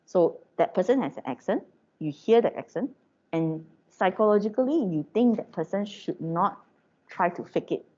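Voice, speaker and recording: Malaysian-accented woman lecturing, mellow tone. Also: medium pitch, expressive, normal speed. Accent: Malaysian English. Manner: chatty, many fillers and tag questions. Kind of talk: informal classroom lecture. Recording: dull lo-fi recording.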